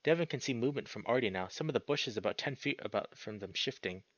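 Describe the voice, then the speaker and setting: A man reading a visual novel aloud as expressive narration, breaking off into casual, sweary, slangy reactions. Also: medium pitch, quick pace, no room sound, dull audio. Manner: animated, sweary, slangy interjections.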